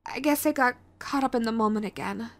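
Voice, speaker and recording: A woman's voice: on the high side, a little fast, lightly acted. A woman playing a young, sweet, clingy yandere girlfriend in an intimate audio roleplay, with a cutesy, hesitant manner. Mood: remorseful, hesitant. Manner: cutesy, hesitant, gushing pet names. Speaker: voice actress.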